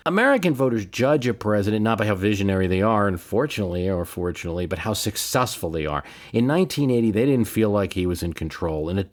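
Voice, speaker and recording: A man with a fairly low voice delivers political history commentary as a podcast host. He speaks conversationally, making his case with emphasis and putting questions to the listener as he argues.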